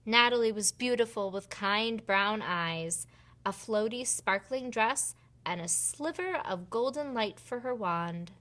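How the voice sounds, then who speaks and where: A woman reading a picture book aloud for preschoolers in an expressive storytelling manner. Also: mid-range voice, a little slow. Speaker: children's storybook reader and host.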